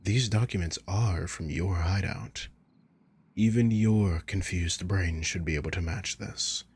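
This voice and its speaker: Man performing a sadistic male villain, very husky and breathy, slow deliberate taunts, condescending, controlling, ASMR roleplay. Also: low voice, a little mellow, heavily acted. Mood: condescending, controlled.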